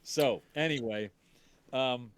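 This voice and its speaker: A man's voice: medium pitch, slow, smooth, dry recording. A man hosting a stream in casual chat, talking in a rambling, jokey way. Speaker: stream host.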